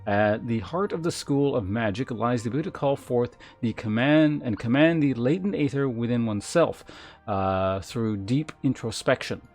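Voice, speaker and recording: A man streams a game in an average-pitched voice. He talks informally, moving between reading on-screen text and thinking aloud. Music plays clearly behind his voice. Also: moderate pace, a little mellow.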